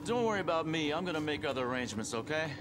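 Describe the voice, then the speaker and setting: A man's voice: fairly high pitch, animated, close to an everyday voice. Man playing a weary, hesitant gunsmith, noisy background. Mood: resigned, reassuring. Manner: hesitant, halting. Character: weary, self-effacing gunsmith shrugging off trouble. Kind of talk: naturalistic video game acting.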